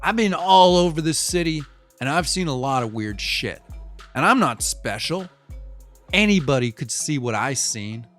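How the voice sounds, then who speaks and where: A man's voice: fairly high pitch, lively intonation, moderate pace, fairly bright tone, soft background music. A man playing a cynical streetwise city cyclist. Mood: wry. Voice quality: slangy, profane street talk. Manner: rambling, conspiratorial, shifts between wry and fired-up. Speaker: local bike courier interviewed. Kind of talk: audio drama monologue.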